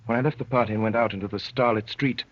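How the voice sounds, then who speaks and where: A man acting a courteous, wry gentleman poet who narrates his strange adventure in measured, literary phrases, in 1930s radio drama style. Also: on the low side, fairly even intonation, close to an everyday voice. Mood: grave, wondering. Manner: measured, literary, dryly polite. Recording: muffled, worn 1930s radio recording.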